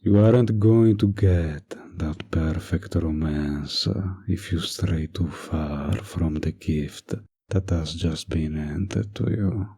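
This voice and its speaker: Male actor as a flirtatious villain, slow drawl, husky breathy tone, smug, manipulative, intimate roleplay audio. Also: deep voice, dark tone, heavily acted. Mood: menacing, persuasive. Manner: drawling, coaxing, smug, with deliberate pauses.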